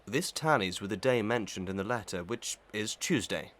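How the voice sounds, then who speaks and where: A man plays a measured magistrate in a Victorian audio melodrama. His low voice is stern and formal, weighing each phrase with official care. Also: lively intonation, fairly bright tone.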